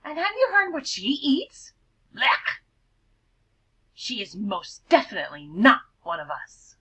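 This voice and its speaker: Woman reading aloud, doing a snide chorus of nerdy birds: loud, high, sneering, very lively. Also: unhurried, breathy edge, full character voice. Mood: scornful. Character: snooty flock of nerdy cartoon birds.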